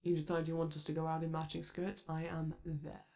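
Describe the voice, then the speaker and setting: A flamboyant male robot celebrity, doting and gently teasing, as a man acts him out. The voice is dark and mellow with a gravelly edge, spoken close and soft like a romantic ASMR audio drama. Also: a little high, monotone, brisk. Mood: reassuring.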